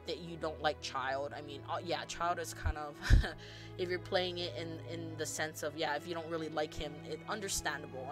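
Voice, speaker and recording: A woman streamer rambling casually and excitedly, full of fillers and the odd swear word. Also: deep voice. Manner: gushing, animated, swearing casually, lots of fillers. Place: home streaming setup.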